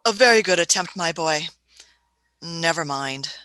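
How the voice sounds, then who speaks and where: A woman's voice: lowish voice, expressive, measured pace, sharp tone. A woman voicing an elderly female time traveler in a fan radio play, shrewd and fussy, often hesitating with "uh" and "hmm".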